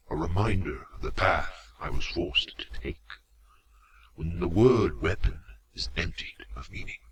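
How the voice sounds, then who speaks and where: Man acting a slow, deep robot villain. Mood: solemn, zealous. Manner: theatrical, deliberate, with dramatic pauses and drops to whispers. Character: male robot villain, menacing, eloquent, cruelly courteous commander. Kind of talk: scripted audio drama villain monologue. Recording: amateur home recording, faint noise.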